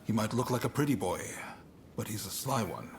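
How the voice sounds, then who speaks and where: An actor playing a shrewd Shogunate official in a video game, speaking in a casual, confiding way. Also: male voice, fairly low pitch, big pitch swings, a little fast, over music. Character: shrewd Shogunate official recruiting trusted agents. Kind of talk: video game cutscene acting.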